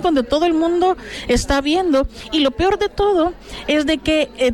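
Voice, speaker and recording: A woman's voice: high voice, a little mellow. A middle-aged woman from El Salvador, a city councillor, answering interview questions in an earnest way with frequent fillers and restarts.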